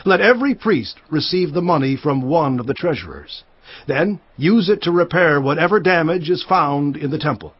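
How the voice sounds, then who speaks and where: A man's voice: on the high side, expressive, normal speed. An actor playing an authoritative young king in a dramatised audio Bible, speaking in a firm, declamatory, emphatic manner. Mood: firm, commanding. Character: authoritative young king addressing his priests firmly. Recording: dry but dull, lo-fi sound.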